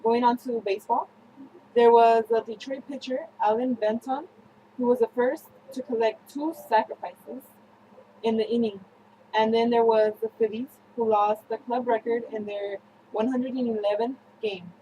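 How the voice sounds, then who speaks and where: A young woman presenting a scripted student newscast, reading haltingly with slips and self-corrections. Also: fairly high pitch, steady tone, mellow, muffled recording, a little hiss or hum. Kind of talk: scripted news-style narration for a history project.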